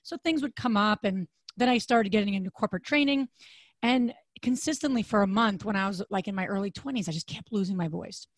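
Hoarse, raspy woman podcast host. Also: a little fast, dry recording. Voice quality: deep, raspy voice. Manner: chatty, rambling with fillers and restarts. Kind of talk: casual podcast chat.

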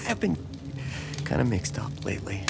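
An actor playing a lonely, timid small-town man in an audio drama, speaking haltingly with stammers and self-deprecation. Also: medium pitch, huge pitch swings, vocal fry, whispered, full character voice. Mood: unsure, hesitant. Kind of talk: naturalistic audio drama acting. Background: music behind the voice.

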